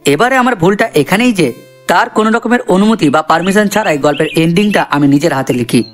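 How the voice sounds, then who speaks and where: A man's voice: high-pitched, lively intonation, fast talker. A man voicing an earnest, chatty cartoon character, explaining things to viewers. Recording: voice over background music.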